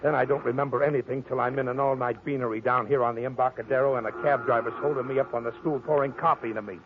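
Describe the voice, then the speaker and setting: An actor playing a hot-headed, rough sailor with a gruff, blunt, slangy manner in an old-time radio detective drama. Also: male voice, mid-range voice, fairly even intonation, fast, lightly acted. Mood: uneasy, explaining. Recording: noisy, tinny old-time radio recording.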